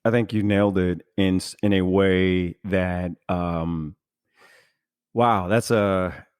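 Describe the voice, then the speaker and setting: A man's voice: low-pitched, fairly even intonation, slow, darkish tone, smooth, no echo, studio quality. A man hosting a podcast in casual, reflective conversation, speaking earnestly and emphatically as he searches for his words. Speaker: podcast host.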